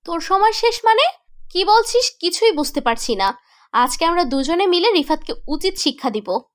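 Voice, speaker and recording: A high-pitched young heroine voiced by a woman in a horror cartoon. She is a loyal, indignant friend who tells her story dramatically in the first person. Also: very animated, normal speed. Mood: confused, demanding.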